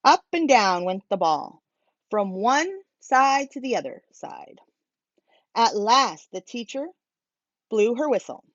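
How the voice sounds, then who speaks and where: A woman reading a children's storybook aloud as a teacher, in a simple, expressive manner for young listeners. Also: fairly high pitch, takes it slowly, no room sound, highs cut off.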